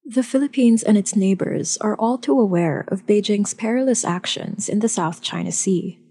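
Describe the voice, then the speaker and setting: Mellow, husky news podcast host. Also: female voice, medium pitch. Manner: measured, script-read delivery. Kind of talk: scripted news narration.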